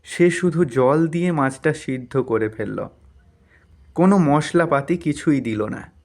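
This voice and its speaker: A man's voice: a little high, unhurried, darkish tone. A man narrating a scripted cartoon story as a storyteller.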